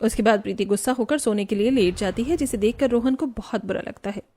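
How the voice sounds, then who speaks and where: A woman's voice: fairly high pitch, fast, mellow. A woman reading scripted narration for a family cartoon story.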